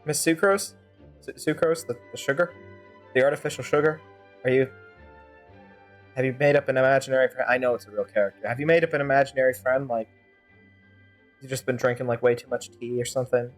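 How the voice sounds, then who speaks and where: Casting: game streamer, mid-range pitch, rambling and sardonic, talking over faint game music. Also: brisk, pauses often. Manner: animated, rambling, sardonic asides. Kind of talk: playthrough commentary with fan-dub character reading. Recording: music behind the voice.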